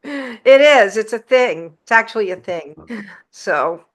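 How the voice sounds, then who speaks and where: A woman's voice: on the high side, expressive, slow. A woman hosting an interview show, enthusiastic and chatty, often laughing as she asks questions. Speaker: podcast host. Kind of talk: casual interview chat.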